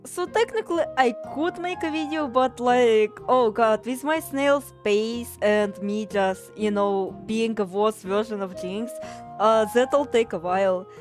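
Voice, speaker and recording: Rambling Russian-accented woman streamer, fairly high voice. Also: a little slow, over music. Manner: rambling, swears freely, self-deprecating, reads chat aloud. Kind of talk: casual gaming livestream chat.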